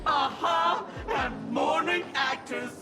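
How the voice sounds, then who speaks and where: An actress voicing a theatrical, bossy gatekeeper in a video game, grandiose and declamatory. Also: female voice, high voice, wildly swooping pitch, slow, over loud music, a loud crowd, heavily acted. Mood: wary, bossy. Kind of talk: video game cutscene acting.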